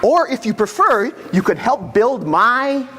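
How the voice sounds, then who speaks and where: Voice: medium pitch, big pitch swings, a little slow, bright, forceful tone. A performer in amateur readers theater playing a vain, petulant king with a pompous, imperious manner. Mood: gloating. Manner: pompous, imperious, swooping exclamations. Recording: echoey hall, faint background noise.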